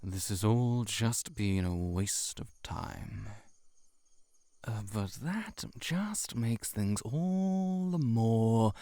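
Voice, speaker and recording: A man voicing a cynical, seductive heart-eating shapeshifter monster in an intimate audio roleplay, sardonic and measured, sometimes near a whisper. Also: lowish voice, creaky, darkish tone, no room sound, heavily acted. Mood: wry, puzzled.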